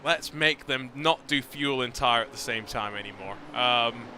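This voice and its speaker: A man commentating a sim race, chatting and joking with his co-commentator. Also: mid-range voice. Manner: chatty, joking, quick-witted. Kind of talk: live race commentary chat. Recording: noisy commentary mic.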